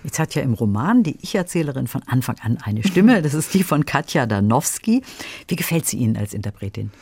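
A man's voice: medium pitch, very animated, fairly quick, a little mellow, breathy edge, dry recording. A man hosting a radio programme, presenting and putting questions in a structured way. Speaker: radio host, interviewer.

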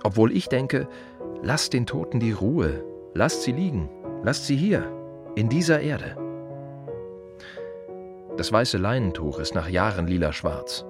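An actor playing a plain-spoken, wry gravedigger in a radio play monologue, confiding in short halting phrases with dry irony. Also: male voice, on the low side, big pitch swings, over music. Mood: wry, reflective.

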